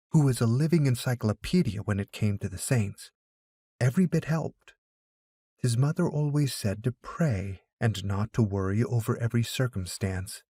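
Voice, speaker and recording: A man's voice: medium pitch, dark tone, husky, no echo. A man narrating a scripted story as an audiobook reader.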